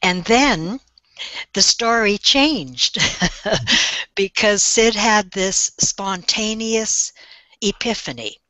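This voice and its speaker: An older woman speaking on a webinar call as a guest, telling her story in a gentle, reflective way. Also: mid-range voice, animated, extremely slow, long pauses, no room sound, low-quality recording. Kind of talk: slow, thoughtful storytelling.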